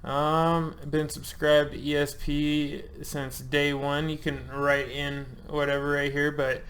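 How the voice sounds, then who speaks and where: A man's voice: a little high, flat delivery, slow pace, a bit bright, a little hiss or hum. Chatty man in his thirties walking through a form. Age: 30s. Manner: loose, chatty, joking, with frequent ums and uhs. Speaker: channel host. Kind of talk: casual screen-share walkthrough.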